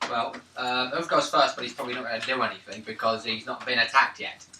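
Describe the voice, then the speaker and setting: A man's voice: mid-range voice, steady tone, quick pace, sharp tone. A man chatting casually and jokingly as a player at a tabletop game. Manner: joking, blunt. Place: indoors at a gaming table. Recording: echoey room, distant mic, faint noise.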